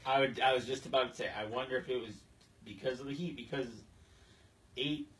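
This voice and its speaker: A man's voice: medium pitch, fairly quick, fairly bright tone. A man co-hosting a casual hunting podcast, agreeable and conversational. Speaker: podcast co-host. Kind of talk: casual hunting talk show chat. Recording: distant mic, noticeable background noise.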